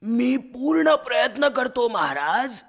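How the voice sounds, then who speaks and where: A woman's voice: on the high side, big pitch swings, slow pace, heavily acted. A woman voicing a sly, scheming male fox who serves as the lion's minister, with a coaxing, sweet-talking manner. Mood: pleading. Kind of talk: storybook character voice.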